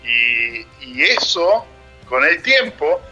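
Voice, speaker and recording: Male radio host, very bright sharp voice, thoughtful, halting speech, faint music behind. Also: mid-range voice, very animated, lo-fi sound. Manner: thoughtful, halting, with many fillers. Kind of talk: reflective radio conversation.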